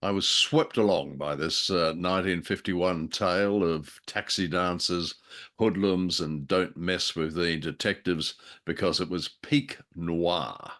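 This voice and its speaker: A very low-voiced man talks as a co-host in a relaxed review conversation. He is wry and jokey, and likes to put things with a dramatic flourish. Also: a little slow. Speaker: podcast co-host. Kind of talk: casual review chat about old-time radio drama.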